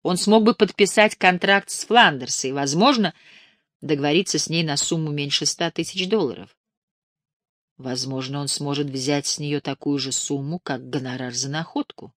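A woman narrating an audiobook with a measured, expressive reading on a close studio mic. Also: low-pitched, dry recording.

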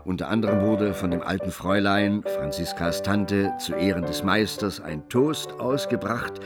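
A composed literary storyteller, played by a man, narrating a radio play in a fairly low voice. Prominent string music plays behind the narration. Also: moderate pace, lightly acted.

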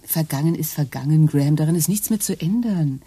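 A woman's voice: low-pitched, fairly quick, warm tone, slightly rough, dry recording. An actress playing Liz, a practical married woman in a German radio play who doubts her partner's ideas. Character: down-to-earth skeptical housewife, married woman.

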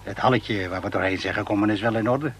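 An actor playing an eccentric, opinionated inventor in a radio play, chatty and indignant, with emphatic rhetorical delivery. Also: male voice, fairly low pitch, fast, a little hiss or hum, close to an everyday voice. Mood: sheepish. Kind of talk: Dutch radio play dialogue.

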